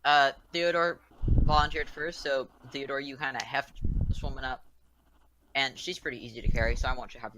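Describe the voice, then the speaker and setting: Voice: mid-range voice, steady tone, fairly quick, background noise. A game master narrating a tabletop roleplaying game in an informal way, addressing the players as their characters.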